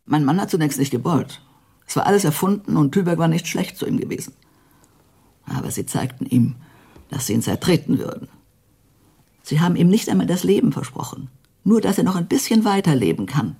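Husky, mellow-toned bitter older widow. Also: female voice, fairly low pitch, very animated, fairly quick, heavily acted. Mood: bitter, pained. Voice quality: husky, muted. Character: bitter, grieving elderly widow of a coerced witness. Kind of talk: German radio play acting.

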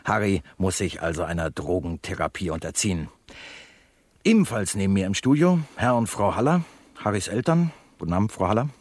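A man's voice: deep voice, lively intonation, a little fast, no room sound, studio quality. A man playing a slick, chatty radio talk show host in a satirical radio drama, with showy announcer phrasing. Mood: smooth, chatty.

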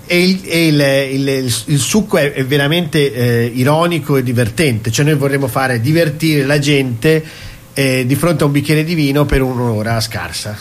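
A man's voice: mid-range voice, moderate pace. A man being interviewed as a cabaret actor, talking casually and at length, explaining and stacking up phrases. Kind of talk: casual interview talk about his stage show.

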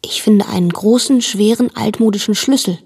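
An actress playing a dutiful, watchful young woman who narrates a radio drama in a husky voice. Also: mid-range voice, normal speed, a little mellow. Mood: hushed, obedient. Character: dutiful, watchful girl confiding what she sees. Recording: music behind the voice.

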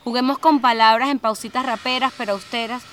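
A woman reciting rhythmic spoken word, with short pauses like austere rap. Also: a little high, steady tone, fairly bright tone, a little hiss or hum.

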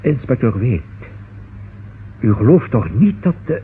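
A man's voice: medium pitch, very animated, measured pace, a little hiss or hum. A man acting a fussy, nervous, forgetful solicitor in a radio play, with hesitant, exclamatory speech. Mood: uneasy. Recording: muffled, band-limited old radio recording.

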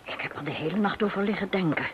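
An actress playing an earnest, self-assured academic expert in a radio play. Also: female voice, lowish voice, fast talker, close to an everyday voice. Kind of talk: Dutch radio play acting. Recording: dull radio recording with faint noise.

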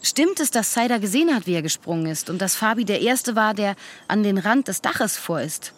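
A woman's voice: medium pitch, animated, a little fast, lightly acted. An actress playing a persistent woman investigator in a radio drama, questioning in a matter-of-fact way. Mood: probing.

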